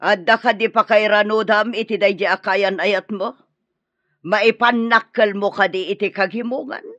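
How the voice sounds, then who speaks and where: A woman's voice: mid-range voice, fairly even intonation, normal speed, fairly bright tone, dry recording, lo-fi sound, lightly acted. Woman acting scornful meddling mother, dry sound. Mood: disapproving. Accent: Ilocano. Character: scornful, scheming middle-aged mother meddling in son's romance. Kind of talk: Ilocano radio drama acting.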